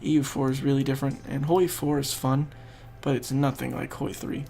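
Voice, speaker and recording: Stuffy young guy mumbling through a strategy game, gravelly, soft music behind. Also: medium pitch, flat delivery, moderate pace. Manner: rambling, thinking aloud while playing. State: congested, losing his voice. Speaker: gaming video host. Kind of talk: casual gameplay commentary.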